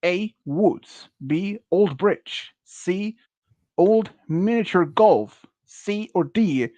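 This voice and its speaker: A man in his early thirties, speaking English as a second language, hosts a casual quiz. His voice sits a little high and he talks slowly, stopping and restarting as he searches for words. The sound is close and dry. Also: lively intonation, warm tone, no room sound. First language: not English. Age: early 30s. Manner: slow, halting, many restarts and fillers. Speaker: quiz host. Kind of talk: casual stream quiz chat.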